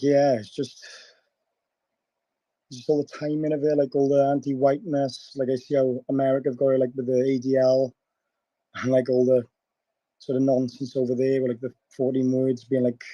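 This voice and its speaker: A man with a sore throat and a hoarse voice, rambling in casual chat and peppering his talk with filler words. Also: medium pitch, dark tone, no room sound, rough recording. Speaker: caller in an online audio chat room.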